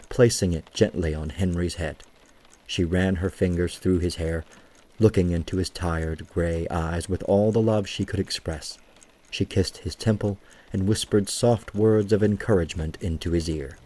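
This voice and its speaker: Very deep, husky male story narrator. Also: dark tone. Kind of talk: scripted story narration, audio drama.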